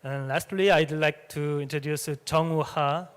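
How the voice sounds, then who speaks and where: A man's voice: on the high side, fairly even intonation, a little slow, a bit bright, smooth. A man moderating a symposium session, speaking English as a with many hesitations and fillers. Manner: hesitant, frequent "uh" fillers and restarts. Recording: reverberant hall sound.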